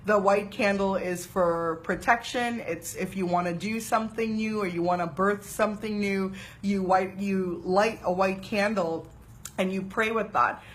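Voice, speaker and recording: A woman from Trinidad and Tobago giving a casual spiritual talk, chatty and animated, often checking in with "right?". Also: fairly low pitch. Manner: chatty, animated, frequent uh fillers and "right?" asides. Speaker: spiritual teacher.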